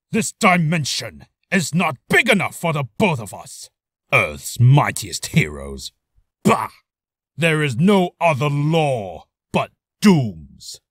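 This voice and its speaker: A man's voice: on the high side, a bit bright, no room sound, studio quality, heavily acted. A man voicing a pompous, imperious tyrant who declaims with grand scorn, as in a video game. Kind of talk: video game character voice reel.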